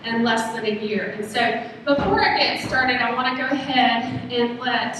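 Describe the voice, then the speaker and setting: A woman with a loud voice, presenting at a conference as director of a college learning center, chatty and asking the audience questions. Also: on the high side, normal speed. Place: conference room. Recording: echoey hall, distant mic, faint noise.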